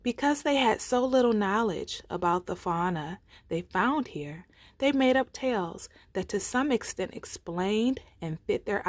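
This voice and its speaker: A woman reads a children's story aloud at an unhurried pace. Her pitch moves widely, with theatrical, deliberate phrasing. The recording is close and dry. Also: dry recording. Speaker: storytime reader. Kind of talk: expressive read-aloud of children's folktales.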